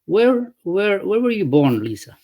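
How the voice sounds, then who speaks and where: A man's voice: high-pitched, very animated, a little slow, a little mellow. A man from Morocco hosting a casual video-call interview, musing aloud and often tagging his points with "right?". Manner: thoughtful, rambling, frequent "right?" tags. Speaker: interviewer, company founder.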